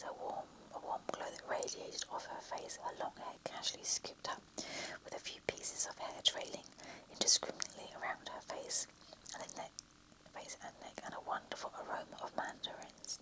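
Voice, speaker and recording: Whispering storyteller reading a romance. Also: low-quality recording. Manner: soft unvoiced whisper throughout; reads with small slips and self-corrections.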